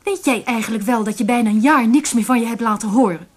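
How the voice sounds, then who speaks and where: A woman's voice: on the high side, fast, breathy edge. A woman playing a reproachful character in a radio drama, asking short, pointed questions. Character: reproachful woman facing a long-vanished man. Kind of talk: Dutch radio drama acting.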